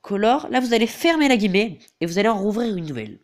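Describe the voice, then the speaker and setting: A man's voice: high-pitched, sing-song, quick pace. A teenage boy with a head cold talks through a game tutorial over the screen. He hesitates often and keeps falling back on the same filler phrases. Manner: hesitant, filler-heavy, repeats "donc voilà". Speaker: gaming YouTuber.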